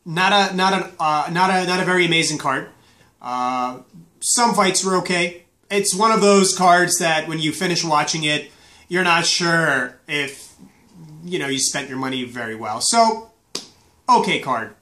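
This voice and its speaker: A man's voice: fairly high pitch, measured pace, pushed and bright. Stuffy-voiced man rambling through a fight review. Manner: rambling, many fillers and restarts. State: stuffy, recovering from flu. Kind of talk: casual fight review vlog. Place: small indoor room.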